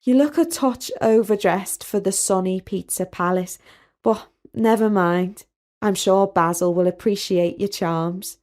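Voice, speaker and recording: A woman's voice: medium pitch, a little slow, a little mellow, close to an everyday voice. Reader lady doing a young college girl in a storybook, down to earth, sceptical, chatty slang. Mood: wry. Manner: colloquial, blunt, casual wording.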